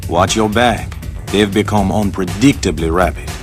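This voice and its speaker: A suave, shrewd club boss, acted by a man with a deep male voice. He is measured, guarded and wry, as in a game cutscene, with loud music behind him. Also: lightly acted. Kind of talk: video game voice acting.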